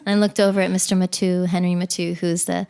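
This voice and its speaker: Chatty podcast guest, a woman from the Cayman Islands, relaxed interview talk full of fillers. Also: fairly low pitch, fast talker, vocal fry, clean tone. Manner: chatty, many fillers and restarts. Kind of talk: casual interview chat.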